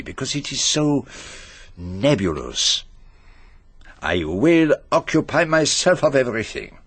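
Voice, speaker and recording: An actor in a radio drama playing a shrewd, courteous detective with a French-speaking Belgian accent, speaking precisely and deliberately. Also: male voice, mid-range voice, breathy edge, dry recording. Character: shrewd, methodical, courteous foreign male detective.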